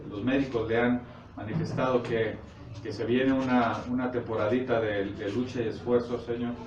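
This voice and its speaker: A Mexican man gives an informal sermon, recorded from far off in an echoey room. He explains scripture conversationally and haltingly, often checking in with short questions, over clear background noise. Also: mid-range voice, steady tone, dull audio. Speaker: preacher. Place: echoey indoor congregation room. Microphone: distant microphone.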